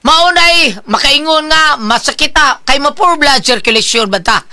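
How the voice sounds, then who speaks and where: Woman, Cebuano accent, high pitch, bright tone, radio host, conversational product endorsement. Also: lively intonation, moderate pace.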